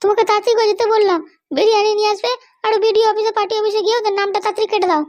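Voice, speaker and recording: A middle-aged Bengali wife with an extremely high, childlike cartoon voice. She talks quickly and bossily, nagging and commanding, always suspicious and scheming. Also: flat delivery. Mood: bossy. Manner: nagging, commanding, keeps demanding to be understood. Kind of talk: animated comedy cartoon dialogue.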